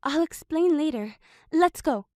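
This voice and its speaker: A woman's voice: high-pitched, animated, unhurried, dry recording, studio quality. An actress playing a determined young female intelligence technician in a radio drama, polite and resolute. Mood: brisk, efficient. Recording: very loud music, vehicle drone.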